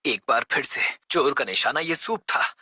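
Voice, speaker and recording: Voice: medium pitch, expressive, normal speed, pushed and bright. An actor in a Hindi cartoon dub playing an overzealous detective who declaims with great melodrama. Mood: determined.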